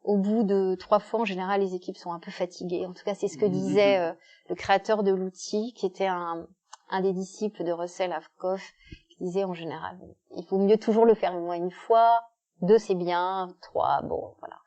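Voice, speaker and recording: A woman's voice: mid-range voice, fairly even intonation, normal speed, warm tone, lo-fi sound. A woman giving an explanatory talk as a guest speaker, walking through everyday examples with frequent hesitations. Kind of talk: explanatory talk at a club meeting.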